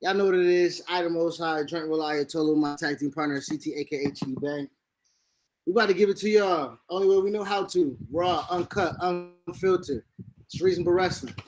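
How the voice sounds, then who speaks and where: A man from New co-hosting a casual wrestling podcast, loud and slangy with hype-man energy. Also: on the high side, brisk, rough recording. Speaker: podcast co-host.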